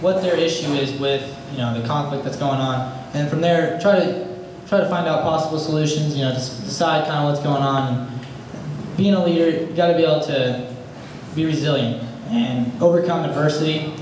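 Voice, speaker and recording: A young man answers debate questions in a halting, casual way and leans on many fillers. He sounds far from the mic in a very echoey room with clear background noise. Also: a little high, a little mellow. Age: young adult. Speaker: student government candidate.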